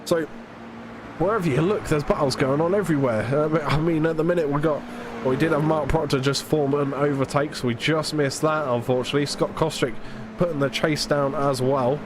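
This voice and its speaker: British man, race commentator, stuffy nose from hay fever, slightly high voice, noticeable background noise. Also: fairly even intonation. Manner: chatty, self-deprecating, quick play-by-play with fillers. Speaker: sim racing commentator. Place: alone in a commentary booth.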